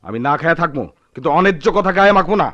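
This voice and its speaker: An actor playing a proud, hot-tempered poor village husband in a Bengali radio drama, with a rural Bangladeshi accent and a theatrical, defiant manner. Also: male voice, a little high, expressive, very fast, a bit bright, dull audio. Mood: angry, defiant.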